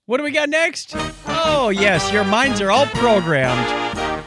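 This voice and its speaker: A man in his fifties talks casually as a podcast co-host. His voice sits a bit high and swings widely in pitch. He is jokey and likes to act out quotes and sound effects while chatting. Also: big pitch swings, slow pace, over loud music. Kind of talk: casual banter and listener feedback.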